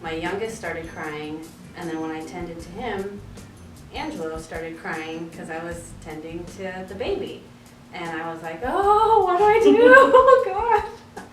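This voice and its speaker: A woman of nearly forty with a lowish voice, who talks candidly and laughs easily. She is heard from off the mic in an echoey room, with music under her. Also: very animated, measured pace, a bit bright, distant mic. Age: almost forty. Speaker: panel guest, mother of two. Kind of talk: casual group chat.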